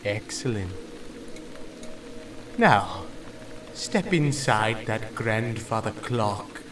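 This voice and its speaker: An actor playing a sinister, manipulative villain in an audio drama, with a deliberate, imperious delivery. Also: male voice, lowish voice, animated, noisy background. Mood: menacing, commanding. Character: sinister hypnotic male villain feigning distress, commanding and cruel.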